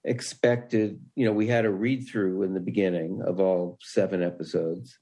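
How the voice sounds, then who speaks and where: Low-voiced man, halting delivery. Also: steady tone, warm tone. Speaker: podcast guest, writer. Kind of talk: conversational interview answers.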